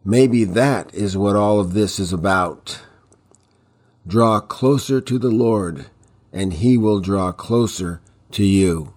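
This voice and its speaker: A man's voice: on the low side, takes it slowly, a little mellow. A man delivering a scripted devotional teaching, exhorting listeners with reflective rhetorical questions.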